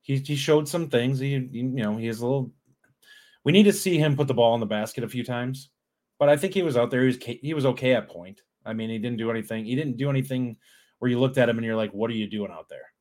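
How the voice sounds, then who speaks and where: A man's voice: mid-range voice, fast, darkish tone. A man co-hosting a sports podcast, giving earnest game analysis in a casual, restarting way. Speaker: podcast co-host.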